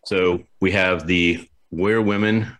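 A man's voice: sits low, steady tone, unhurried, a bit bright. A man hosting an interview show, upbeat and enthusiastic, often repeating words as he talks. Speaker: podcast host. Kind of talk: casual interview chat.

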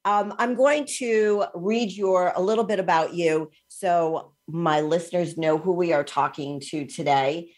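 A woman's voice: on the low side, measured pace, hardly any pauses, darkish tone. A woman in her sixties hosting a podcast interview. She is chatty and enthusiastic and drops in ums as she talks. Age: 60s. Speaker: podcast host.

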